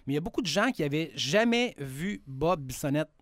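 A man's voice: fairly high pitch, animated, fairly quick, no room sound, clean studio sound. A man with a Québécois accent, enthusiastically telling stories in a casual podcast chat. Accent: Québécois French. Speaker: guest, video producer.

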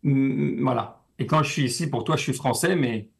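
French comedian with a slight Québécois lean. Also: male voice, mid-range voice, normal speed, vocal fry. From France. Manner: animated, repeats words while thinking. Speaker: comedian guest. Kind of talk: casual interview chat.

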